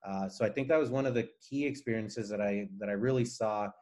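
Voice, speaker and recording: A man's voice: fairly low pitch, a little fast, a little mellow. A man from Nicaragua whose first language is Spanish, giving earnest, explanatory answers as a guest engineer in a conversational interview.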